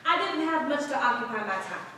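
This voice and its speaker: An actress plays a wry, supportive, sassy woman in a staged reading. Her voice is high and lively, heard from a distance with heavy room echo. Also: a little fast, a little hiss or hum. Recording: very echoey room, distant mic.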